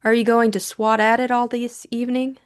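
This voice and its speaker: A woman's voice: fairly high pitch, level tone, a little fast, clean tone, pitched above the performer's own voice, close to an everyday voice. A woman voicing a plucky young upper-class amateur sleuth in an audiobook mystery, cool and matter-of-fact.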